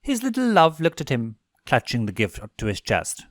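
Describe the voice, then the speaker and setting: A man narrating a scripted story in an expressive audiobook style. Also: medium pitch, moderate pace, no echo, clean studio sound. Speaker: fan fiction reader and narrator.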